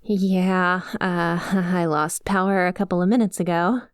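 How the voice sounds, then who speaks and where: A woman in an ASMR roleplay voicing an affectionate young lamia best friend, softly and intimately, with some hesitation. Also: lowish voice, steady tone, a little slow, clean tone, no room sound, clean studio sound, close to an everyday voice. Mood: sheepish, concerned.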